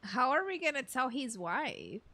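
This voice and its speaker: Bright, lively young woman gaming. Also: sits high, unhurried. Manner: playful, reactive. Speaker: gaming streamer. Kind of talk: casual let's play reaction commentary.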